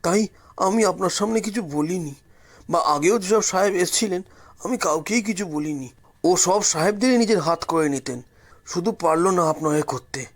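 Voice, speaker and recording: A man's voice: high-pitched, normal speed. A man voicing a frightened, apologetic village gatekeeper in an audio story, deferential and pleading, repeating himself. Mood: fearful, apologetic.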